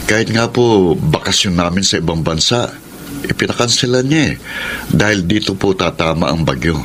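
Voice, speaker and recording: A man's voice: deep voice, animated, moderate pace, fairly bright tone, slight background noise. A man playing a proud, affable husband and respectful grown son in a radio drama. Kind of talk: Filipino radio drama acting.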